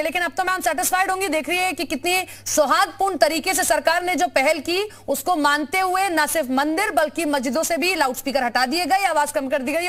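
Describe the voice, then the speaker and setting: A woman anchoring a TV news debate, introducing guests and putting brisk, formal questions to them. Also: sits high, a bit bright.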